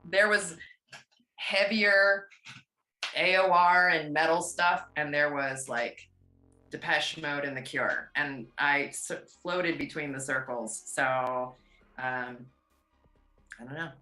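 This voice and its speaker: Low-voiced woman with a forceful tone. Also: takes it slowly, long pauses. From USA, outside Pittsburgh. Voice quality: low, big rock voice. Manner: chatty, animated, rambling. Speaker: singer, interview guest. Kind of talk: casual interview chat. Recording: roomy echo.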